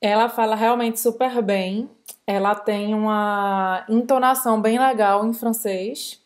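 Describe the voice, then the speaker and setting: A Brazilian woman with a Brazilian Portuguese accent, co-hosting a casual language lesson in a lively, explanatory way. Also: medium pitch, a little slow. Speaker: French teacher, co-host.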